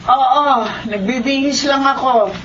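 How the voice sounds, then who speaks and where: A woman's voice: sits high, takes it slowly, fairly bright tone. An actress playing a forgetful older mother in a Filipino radio drama, fretful and absent-minded. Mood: puzzled. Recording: dull, noisy radio recording.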